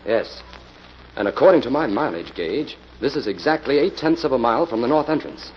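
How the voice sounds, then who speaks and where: A man's voice: medium pitch, animated, brisk, lightly acted. An actor playing an earnest, methodical male investigator in a golden-age radio drama. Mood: urgent. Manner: earnest, decisive, explanatory. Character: decisive, methodical male crime-fighting investigator hero. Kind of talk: 1940s radio acting. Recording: muffled, noisy old radio recording.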